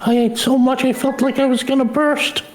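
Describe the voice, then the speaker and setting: A woman's voice: high-pitched, steady tone, normal speed, voice pushed up, close to an everyday voice. A woman voicing an innocent, grateful young village boy in a fantasy game, with a childlike, eager manner.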